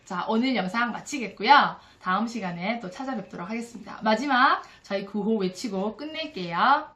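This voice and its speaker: A woman's voice: on the high side, lively intonation, moderate pace, sharp tone. A woman giving a casual, earnest and encouraging spoken book review to viewers as a vlogger. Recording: echoey room.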